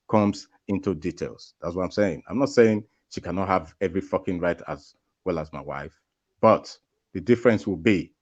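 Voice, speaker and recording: A man with a low voice argues emphatically in a voice chat, circling back to the same points. His audio comes through a dull, lo-fi microphone. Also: a little mellow. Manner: emphatic, repeats himself. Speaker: chat participant. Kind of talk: heated casual group chat. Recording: dull lo-fi mic.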